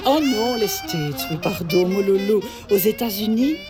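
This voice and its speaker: A woman voicing a chatty, boastful female saxophone in a cartoon, animated and full of exclamations. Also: mid-range voice, measured pace, close to an everyday voice. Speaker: talking instrument telling her history. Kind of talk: cartoon voice acting. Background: background music.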